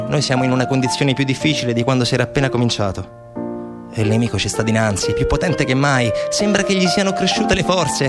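A man giving a theatrical monologue as a stage narrator, measured, with deliberate pauses and repetitions. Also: medium pitch. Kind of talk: theatrical monologue, storytelling and recitation. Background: instrumental music.

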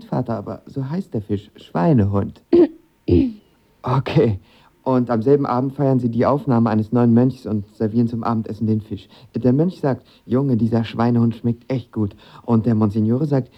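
An actor playing a lovestruck, impulsive young actor of twenty in a radio drama, talking in a colloquial, confiding way. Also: male voice, lowish voice, no echo, muffled recording. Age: young adult. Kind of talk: radio drama narration and dialogue.

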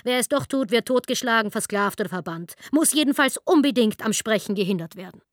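A woman's voice: fairly high pitch, expressive, brisk, no echo, studio quality, lightly acted. A woman playing an ironic, matter-of-fact narrator, delivering scripted satire.

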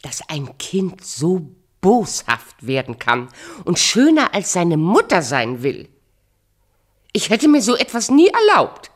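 A woman playing a vain, vicious fairy-tale queen in a radio play, with a theatrical, commanding delivery that moves from outcry to hiss. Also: fairly low pitch.

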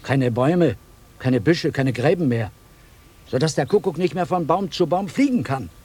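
An actor playing a blind old village woodcutter, brooding and argumentative, in a radio drama. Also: male voice, on the high side, normal speed, darkish tone, breathy edge. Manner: rustic, ruminative, argumentative.

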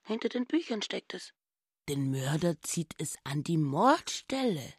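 An actress voicing a housekeeper's suspicious, greedy inner thoughts in a radio drama, muttering and often dropping into a whisper. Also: female voice, fairly low pitch, sing-song, measured pace, slightly rough, dry recording, studio quality, exaggerated voice. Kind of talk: radio drama interior monologue.

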